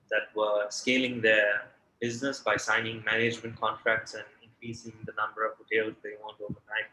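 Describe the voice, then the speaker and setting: A man from Sri Lanka in his late twenties or thirties, a restaurant founder answering interview questions in a relaxed way with many fillers. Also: lowish voice, slight background noise. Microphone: video call. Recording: echoey room with faint ambience.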